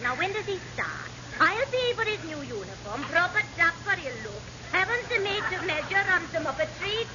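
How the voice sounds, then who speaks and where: Bossy, very shrill-pitched Welsh auntie. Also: female voice, sing-song, moderate pace, few pauses. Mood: demanding. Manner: forthright, pushy. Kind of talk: vintage radio comedy acting. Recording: dull, noisy old radio recording.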